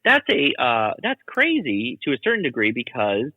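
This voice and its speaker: A man's voice: medium pitch, huge pitch swings, moderate pace, slightly rough, dry recording, in a large hall, phone-quality sound. A man talking as a podcast guest and radio hobbyist, explaining things in a casual, chatty way.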